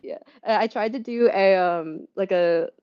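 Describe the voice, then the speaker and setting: A woman voice actor in a casual interview, talking fast with lots of "like"s and restarts. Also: medium pitch, crowd noise. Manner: rapid, filler-heavy speech with many "like"s and restarts. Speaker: voice actor guest. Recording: muffled, lo-fi sound.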